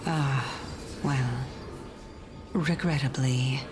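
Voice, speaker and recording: An actress playing a composed, curious, gently teasing female spirit companion in a video game, in a measured, theatrical manner. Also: extremely low pitch, steady tone, over music, exaggerated voice. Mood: wry consolation.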